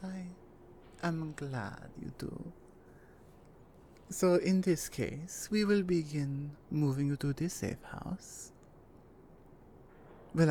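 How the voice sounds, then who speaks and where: A woman's voice: low voice, slight background noise, full character voice. A woman voicing a menacing female Russian mafia enforcer in an ASMR roleplay, speaking with a Russian accent and dropping into teasing whispers. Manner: slow, teasing menace with soft whispered asides. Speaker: voice actress.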